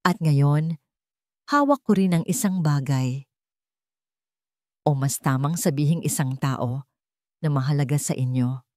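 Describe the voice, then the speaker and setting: A woman's voice: deep voice, lively intonation, unhurried, pauses often, darkish tone, no room sound, clean studio sound. A woman voicing a cold, haughty, venomous older rich villainess in a narrated story, taunting and menacing. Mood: threatening.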